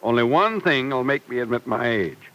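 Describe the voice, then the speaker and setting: A man's voice: medium pitch, expressive, normal speed, a bit bright, lightly acted. An American actor playing a wry, stubborn, suspicious man of about sixty in an old-time radio serial, with folksy phrasing. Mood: wry, playful. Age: older. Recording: vintage radio recording.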